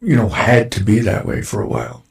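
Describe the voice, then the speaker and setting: A man in his forties, hosting a casual chat show, his voice a little raspy from dust, rambling along with lots of fillers. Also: on the low side, huge pitch swings, a little fast, crowd noise. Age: 40s. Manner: rambling, with many fillers and restarts. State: slightly raspy from breathing dust. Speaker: show host. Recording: roomy echo.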